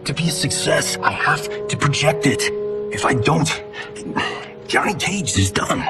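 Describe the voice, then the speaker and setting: An actor playing a cocky, wisecracking action movie star in a cinematic video game, flippant and showy. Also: male voice, fairly high pitch, big pitch swings, unhurried, over music. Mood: defensive, insistent.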